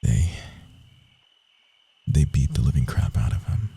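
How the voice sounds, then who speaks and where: A man playing a proud, wounded young rogue assassin in an intimate ASMR roleplay, speaking in a hushed, confiding way with dramatic pauses. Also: extremely low pitch, big pitch swings, heavily acted. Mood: pained, remembering. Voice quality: husky, breathy, often dropping to a whisper.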